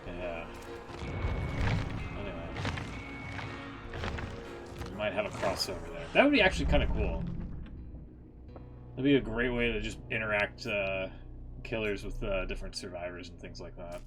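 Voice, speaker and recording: A man's voice: fairly low pitch, expressive, normal speed, pauses often, over music. A man giving casual live stream commentary as he reacts, informal and rambling with some mild swearing. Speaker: game streamer reacting to cutscenes.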